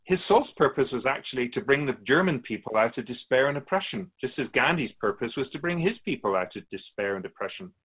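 Radio drama spirit, played by a man: calm, earnest, formal storyteller, measured and didactic. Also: medium pitch, slightly rough, phone-quality sound. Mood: calm, instructive. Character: calm otherworldly male soul keeper, earnest storytelling spirit. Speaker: interviewee.

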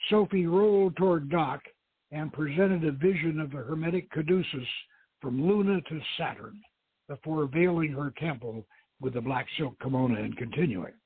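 Phone-line man reading a novel, high voice. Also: moderate pace, husky, no room sound. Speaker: host reading a novel aloud. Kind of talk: dramatic audiobook-style reading. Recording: thin, phone-like radio line.

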